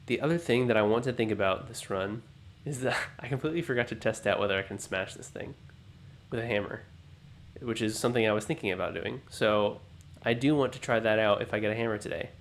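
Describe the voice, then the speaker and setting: A man with a deep, just-woken voice talks his way through a puzzle game at a relaxed, musing pace, often pausing to weigh his choices. A faint room hiss sits behind him at his home desk mic. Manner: musing, unhurried, self-talking. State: low morning voice, just woken up. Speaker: let's play host. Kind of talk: thinking-aloud gameplay commentary.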